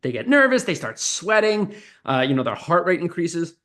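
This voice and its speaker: A man's voice: high voice, very animated, moderate pace, a bit bright. A man, a communications professor, giving teacherly explanations in an interview and often adding "right?" as a tag question.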